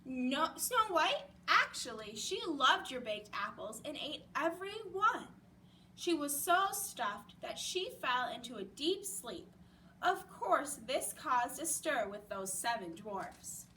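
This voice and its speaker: A woman's voice: high-pitched, big pitch swings, takes it slowly, pushed and bright, heavily acted. A woman voicing a flustered, bossy female headquarters chief in a storybook, scolding and exclaiming. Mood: brisk, explanatory. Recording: distant mic with background noise.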